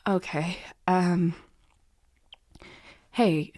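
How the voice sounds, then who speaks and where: A woman playing a snarky but soft-hearted young tutor in an ASMR romance roleplay, halting and sweary, with sighs and a tendency to drop into whispers. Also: lowish voice, fairly even intonation, no echo, clean studio sound. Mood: panicked, apologetic. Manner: halting, awkward, sweary, often sighing and dropping to whispers. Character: harsh, snarky young female tutor turned flustered, guilty comforter.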